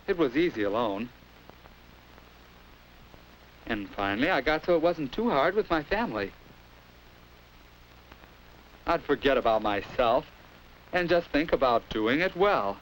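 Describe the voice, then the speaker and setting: A hissy 1950s film soundtrack carries an actor playing a young American schoolboy narrating his own troubles. His voice is earnest and confiding, with lively swings in pitch. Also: natural voice, poor audio. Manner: earnest, confiding first-person storytelling.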